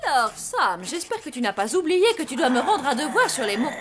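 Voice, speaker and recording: An actress voicing a haughty, quick-tempered woman in a French-dubbed animated cartoon, theatrical and exclamatory. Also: high-pitched, a crowd in the background. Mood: indignant. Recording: loud music behind the voice.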